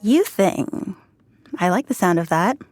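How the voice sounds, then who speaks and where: A woman co-hosting a podcast, explaining things in a measured way. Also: mid-range voice, animated, darkish tone, faint music behind. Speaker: staff writer and co-host. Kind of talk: podcast conversation.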